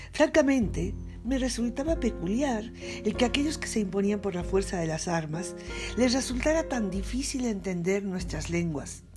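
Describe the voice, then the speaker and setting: A woman's voice: mid-range voice, warm tone, close to an everyday voice. A woman reading as a noble-born interpreter. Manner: measured first-person reading.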